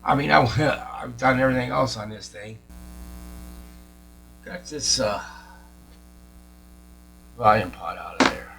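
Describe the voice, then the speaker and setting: Man, bright forceful voice, casual repair commentary, thinking aloud, mains hum. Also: mid-range voice, slow pace, long pauses, poor audio. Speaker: radio repair hobbyist. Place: repair workshop. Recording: clear mains hum and noise.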